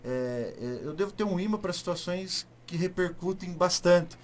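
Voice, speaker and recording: A man from southern Paraná with a rural southern Brazilian accent, speaking as a politician being interviewed, emphatic and halting, repeating words and asking rhetorical questions. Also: high voice, lively intonation, a crowd in the background. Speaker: state deputy, interview guest. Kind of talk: political interview, spontaneous speech.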